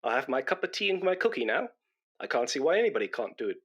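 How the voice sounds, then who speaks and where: An actor playing a pompous, nervous British chatterbox in a comic radio play, rambling and stammering when flustered. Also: male voice, mid-range voice, sing-song, quick pace, a bit bright, husky. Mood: indignant. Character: pompous, nervous, chattering British man come to give blood. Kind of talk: comic radio play acting before an audience.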